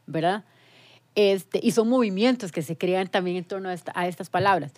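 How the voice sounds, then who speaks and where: A woman speaks in a mid-range voice, steady and reflective. She leans on her words for emphasis as she shares her experience on a casual panel. The sound is dry and close, with no room around it. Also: medium pitch, dry recording. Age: 40s. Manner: reflective, emphatic, slows down on key points. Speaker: TV presenter and show panelist.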